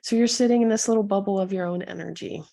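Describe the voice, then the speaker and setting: A woman leading a guided meditation as a coach, speaking softly and soothingly to her listeners with a gentle, husky delivery. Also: mid-range voice. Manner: soothing, gentle, unhurried instruction with pauses.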